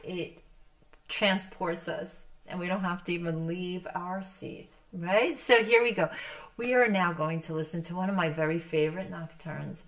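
A middle-aged woman whose first language is Polish, introducing music as a concert host in a gentle, reflective, conversational way with halting phrases. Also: on the low side. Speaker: pianist hosting a livestream concert. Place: indoor candlelit room, seated at a piano.

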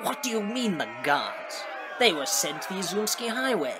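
An actor playing a slick, cheeky, sardonic rogue in a dubbed film comedy, with a wry theatrical delivery. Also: male voice, high voice, big pitch swings, moderate pace, raised voice, crowd noise, background noise. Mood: alarmed.

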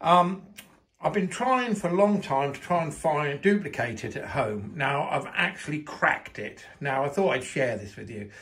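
A man's voice: a little high, animated, moderate pace, a bit bright. An older man in a home kitchen, chatting casually through a how-to with lots of fillers and restarts, filmed on a handheld phone. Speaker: home video maker.

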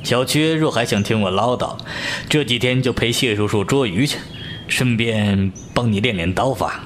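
A man's voice: mid-range voice, normal speed, fairly bright tone, a little hiss or hum, lightly acted. Man acting an avuncular middle-aged martial-arts master. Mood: avuncular, instructive. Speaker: voice actor. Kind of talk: Chinese wuxia audio drama acting.

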